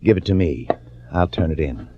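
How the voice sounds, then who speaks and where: An American actor playing a smooth, ambitious, ruthless prosecutor in a radio suspense drama, urgent, commanding and calculating. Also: male voice, extremely low pitch, expressive, normal speed, mellow, slight background noise. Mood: firm, persuasive. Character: scheming, smooth, ambitious prosecutor, outwardly friendly, privately ruthless. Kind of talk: 1940s radio acting, with dramatic first-person narration. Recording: dull old-time radio recording.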